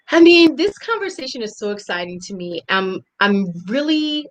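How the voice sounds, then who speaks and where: A woman's voice: mid-range voice, low-quality recording. A woman hosting a live interview series, reading her guest's introduction and asking questions with enthusiasm. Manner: warm, enthusiastic, reads the intro aloud. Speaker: host.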